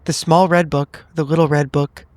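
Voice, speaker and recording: A man's voice: fairly high pitch, little pitch movement, normal speed, no echo. A man playing a tabletop game, improvising polite, tentative, conversational dialogue in character. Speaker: player.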